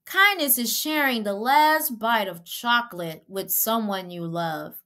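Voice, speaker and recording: A woman's voice: on the high side, lively intonation, a little slow, sharp tone. Woman as a playful, teasing big brother.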